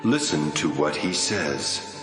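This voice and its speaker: An actor playing the solemn, majestic voice of God in a Bible audio drama, slow and weighty. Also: male voice, very deep voice, animated, heavily acted. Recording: echoing voice over loud music.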